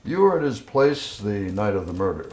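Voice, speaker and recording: A man acting as a calm, persistent, skeptical police interrogator, probing in an understated film style. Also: on the low side, moderate pace. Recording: faint background noise.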